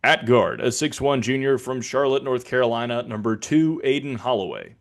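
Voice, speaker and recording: An American man voicing a basketball arena announcer, controlled and formal, with clipped calls and deliberately delivered names. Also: mid-range voice, expressive, close to an everyday voice. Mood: neutral, even. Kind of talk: public address sports announcing demonstration.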